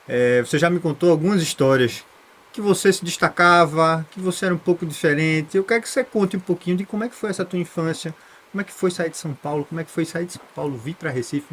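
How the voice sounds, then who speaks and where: A man's voice: a little high, a bit bright, slight background noise. A man co-hosting a podcast, eagerly asking questions and introducing the show. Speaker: podcast co-host. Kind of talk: podcast interview.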